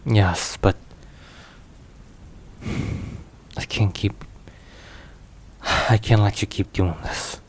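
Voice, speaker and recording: A man playing a stern, protective, weary mafia boss in an intimate ASMR roleplay, speaking in a grave, measured, halting way. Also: lowish voice. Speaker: voice actor. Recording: steady rain and thunder noise. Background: rain and thunder.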